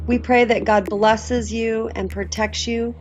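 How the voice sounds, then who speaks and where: A woman's voice: a little high, steady tone, measured pace. A woman narrating a scripted Bible read-along as its host. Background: background music.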